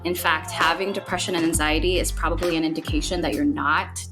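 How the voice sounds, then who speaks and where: A woman's voice: low-pitched, steady tone, quick pace, over music. A woman from the Philippines, a psychotherapist, talking casually and reflectively on a podcast, thinking aloud with fillers and restarts. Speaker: guest psychotherapist.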